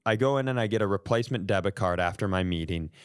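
A man in casual comedic storytelling as a podcast co-host, animated and self-deprecating. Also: low-pitched, fast talker, smooth, dry recording, studio quality. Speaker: podcast co-host telling stories.

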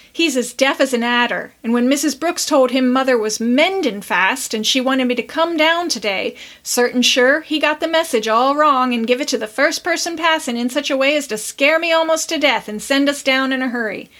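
A woman voicing a brisk, talkative middle-aged country aunt with rustic speech, in a storybook reading. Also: high-pitched, a bit bright. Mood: cheerful.

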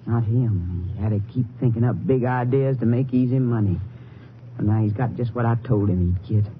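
An actress playing a hard-bitten, resentful rancher's wife in a 1950s radio western, curt and complaining, with rough rural grammar. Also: female voice, a bass voice, a little fast. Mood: bitter, scornful. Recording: tinny, noisy 1950s radio recording.

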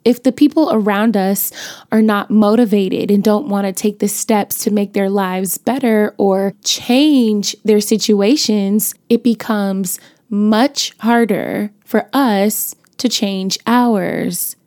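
A young adult woman hosting her podcast, chatty and playful, her voice raspy as it recovers from being lost, with features. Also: mid-range voice, fairly even intonation, slow, a little mellow, no echo, clean studio sound. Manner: chatty, playful, sprinkles in laughs and slang. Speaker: podcast host. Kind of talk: casual motivational podcast talk.

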